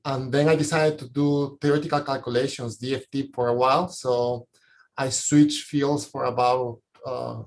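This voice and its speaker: A man's voice: mid-range voice, fairly even intonation, moderate pace. A man with a Latin American Spanish accent, a scientist panelist informally recounting his career, often searching for words. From Ecuador and Colombia. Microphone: video call. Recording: noisy video-call audio.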